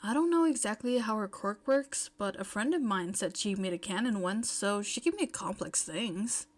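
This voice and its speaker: A woman's voice: medium pitch, animated, normal speed, natural voice. Nervous teenage boy, read by a woman, hesitant and casual, a minor part in an audio story. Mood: unsure. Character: nervous, casual, wide-shouldered teenage schoolboy.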